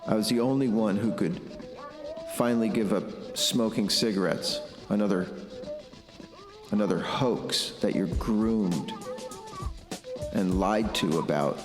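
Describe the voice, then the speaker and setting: A middle-aged man giving a reflective, blunt personal monologue in a halting, deliberate way, repeating phrases for emphasis. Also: on the low side. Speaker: podcast host. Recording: echoey long reverb, music underneath. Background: music.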